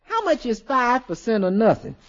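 A woman playing a practical, good-humored housekeeper in a 1950s radio comedy, folksy and wry with a husky voice. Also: a little high, big pitch swings. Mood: wry, skeptical. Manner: folksy, wry, deliberate punchlines. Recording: dull vintage 1950s radio recording.

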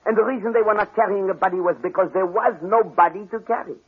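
An actor playing a clever, patient Belgian detective with a French accent and precise, formal phrasing, in 1940s radio mystery style. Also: male voice, high-pitched, steady tone, fast talker, slightly rough. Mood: explaining, knowing. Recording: muffled, band-limited 1940s radio recording.

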